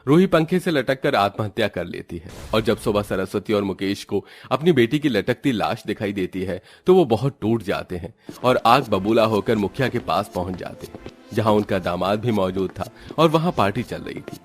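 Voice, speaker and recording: A man's voice: on the low side, animated, moderate pace. A man narrating a scripted horror cartoon story as a storyteller, with music behind him.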